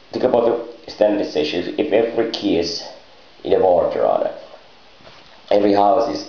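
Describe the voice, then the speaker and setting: A dull, echoey lo-fi recording of a man with a creaky, gravelly voice. He improvises a meandering monologue, hesitating often. His phrasing is disjointed and his grammar comes out garbled. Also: low voice. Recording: muffled lo-fi, echoey room.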